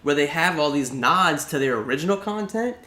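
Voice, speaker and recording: A man's voice: fairly high pitch, lively intonation, a little fast, sharp tone. A man co-hosting a casual chat about video game movies, rambling with many restarts. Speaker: podcast co-host. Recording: echoey room.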